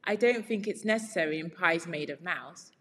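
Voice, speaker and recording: A woman's voice: on the low side, moderate pace, a bit bright, a little hiss or hum, lightly acted. A woman voicing a prim, firm female cat hostess in a storybook, polite but severe. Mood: puzzled, firm. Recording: very reverberant room.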